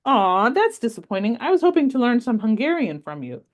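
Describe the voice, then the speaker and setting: A woman voicing a curious, excited six-year-old girl in a read-aloud story, asking question after question. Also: brisk. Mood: eager. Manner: high-pitched, eager questioning.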